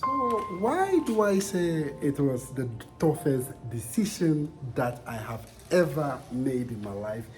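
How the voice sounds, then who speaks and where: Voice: mid-range voice, very animated, measured pace, few pauses, poor audio. A vlogger with a Ghanaian accent speaking to viewers in a reflective, conversational way, repeating points for emphasis. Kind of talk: personal vlog narration to viewers. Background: music.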